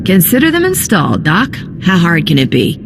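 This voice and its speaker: An actress playing a brash, wisecracking smuggler captain in a video game, sassy and breezy with a sarcastic edge. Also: female voice, lowish voice, huge pitch swings, moderate pace, vocal fry, background noise, exaggerated voice. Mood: cocky. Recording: very loud music under the dialogue.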